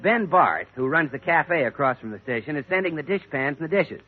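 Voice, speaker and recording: A man's voice: on the high side, sing-song, fairly quick. Tinny 1940s American radio announcer, eager man. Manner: eager salesman patter. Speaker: radio announcer and show regular. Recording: tinny, narrow-band vintage radio recording.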